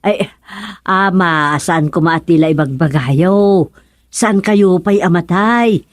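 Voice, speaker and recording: A woman's voice: lowish voice, takes it slowly, no echo. A woman acting an exasperated daughter in a radio comedy, scolding and questioning in a northern Filipino accent. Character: exasperated daughter of a senile old man.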